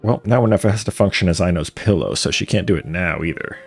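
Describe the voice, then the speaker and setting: A man's voice: deep voice, quick pace, vocal fry, soft background music. A man giving casual live game commentary, wry and muttering to himself as he plays. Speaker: live streamer.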